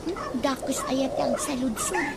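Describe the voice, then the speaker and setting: Young niece played by a woman, cheeky and nosy, high voice, Ilocano accent, loud chicken and dog ambience. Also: steady tone, unhurried. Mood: cheeky, teasing. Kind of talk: Ilocano radio comedy drama acting. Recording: loud background noise with chickens and dogs.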